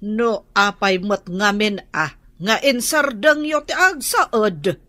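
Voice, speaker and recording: Suspicious wife in a radio drama, normal pitch, measured, asks questions. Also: female voice, expressive, pushed and bright, no echo. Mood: prodding. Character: wary, questioning adult wife plotting in secret. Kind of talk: Ilocano radio drama acting.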